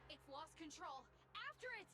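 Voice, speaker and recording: A woman's voice: high-pitched, sing-song, moderate pace. A woman playing a bold, determined, commanding heroine in an anime-style game. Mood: urgent. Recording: music behind the voice.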